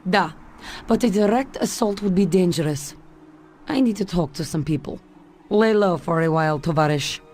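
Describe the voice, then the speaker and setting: A woman's voice: lowish voice, lively intonation, moderate pace, a little mellow, slight background noise, natural voice. Actress as a cool Russian female secret agent. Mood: businesslike. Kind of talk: video game cutscene acting.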